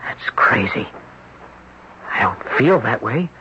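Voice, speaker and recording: A man's voice: medium pitch, big pitch swings, slow, sharp tone, heavily acted. An actor playing a young man who wakes up in a rich stranger's body, talking in an anxious, bewildered way, and also narrating. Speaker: narrator and lead. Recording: dull old radio broadcast with faint hiss.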